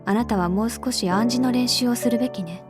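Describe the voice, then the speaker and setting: Woman as aloof japanese witch girl, cool measured scolding, loud music under it. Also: a little high, natural voice. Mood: cool, admonishing. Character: composed, aloof young female witch mentor. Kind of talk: Japanese visual novel voice acting.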